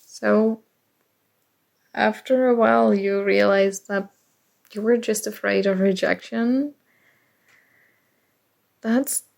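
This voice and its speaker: A woman's voice: medium pitch, vocal fry, a little mellow. A woman acting as a nerdy, flustered college student in an intimate ASMR roleplay, speaking hesitantly and emotionally with stammers. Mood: tender, unsure. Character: nerdy, flustered young female college student confessing a crush.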